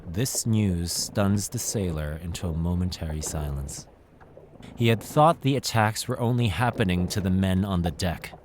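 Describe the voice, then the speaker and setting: A man's voice: sits low, normal speed, a little mellow, a little hiss or hum. A man narrating a scripted horror tale as a dramatic storyteller.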